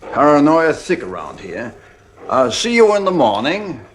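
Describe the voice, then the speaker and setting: A man's voice: a little high, big pitch swings, a little slow. A man playing a concerned, affable family friend in a dramatic audio play.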